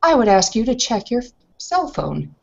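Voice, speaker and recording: A woman voicing a severe, imperious, angry lady in a roleplaying game, speaking in crisp, icily polite tones. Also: a little high, very animated, moderate pace, a little mellow, muffled recording, voice pushed up, full character voice. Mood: curt, commanding.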